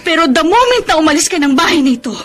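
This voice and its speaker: A woman's voice: very high-pitched, lively intonation, fairly bright tone, soft background music. A woman with a Filipino accent playing a weary, long-suffering middle-aged wife in a radio drama, bitter and emotional, drawing out her words. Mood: hurt, fed up. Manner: tearful, drawn-out emotional delivery. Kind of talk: Tagalog radio drama acting with English mixed in.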